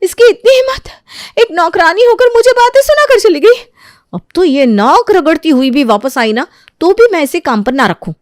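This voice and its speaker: A woman's voice: very high voice, lively intonation, normal speed, no echo, clean studio sound, pitched above the performer's own voice. A woman playing a hot-tempered, spoiled young daughter-in-law in an audio story, scolding and imperious. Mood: outraged.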